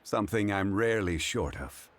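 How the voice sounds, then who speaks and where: A man voicing a deep, dignified, calm scholar. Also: very noisy, close to an everyday voice. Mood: composed. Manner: measured, formal and articulate. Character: dignified, calm male scholar, modest and wise. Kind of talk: video game voice acting. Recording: game audio with background music.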